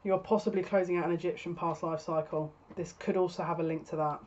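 A woman's voice: on the low side, steady tone, quick pace, a little mellow, a little hiss or hum. A woman in her thirties giving a casual, spoken tarot reading to camera as a psychic reader. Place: small furnished room at home, indoors. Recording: dull lo-fi webcam sound.

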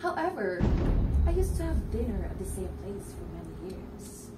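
A young woman acting a talkative, mocking woman in a stage play, with theatrical, deliberate delivery. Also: mid-range voice, big pitch swings, natural voice. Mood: insinuating. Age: young adult. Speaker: stage actor. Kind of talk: theatrical one-act play dialogue. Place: indoor stage set with living-room furniture. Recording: roomy stage, distant mic, music underneath.

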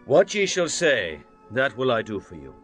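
A man's voice: mid-range voice, wildly swooping pitch, moderate pace, fairly bright tone, soft background music. An actor playing a grave, conciliatory king in a dramatized scripture reading. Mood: solemn consent.

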